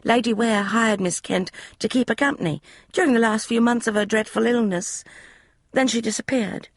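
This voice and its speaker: A woman's voice: fairly high pitch, normal speed, no echo, studio quality, voice pushed up. A woman voicing a young, flustered housemaid who gossips in broad, common speech. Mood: gossipy.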